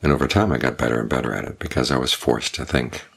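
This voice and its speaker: An older man, a Buddhist monk, giving a calm, measured evening Dhamma talk with unhurried pauses. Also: extremely low pitch, vocal fry, husky. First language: English.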